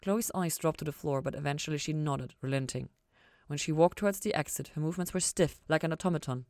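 A woman's voice: deep voice, fast talker, a little mellow, no echo, clean studio sound. A woman reading fan fiction aloud in audiobook-style narration.

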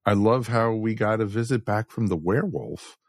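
A man's voice: on the low side, moderate pace, no echo, clean studio sound. A man chatting casually and enthusiastically as a panelist on a podcast. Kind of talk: casual chat.

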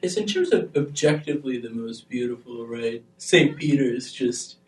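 A young man from Albania chatting casually on a panel, turning animated as he tells stories. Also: medium pitch, normal speed, far from the mic, slight background noise. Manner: animated, grows excited when telling stories. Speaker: podcast panelist. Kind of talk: casual chat. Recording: roomy, off-mic sound.

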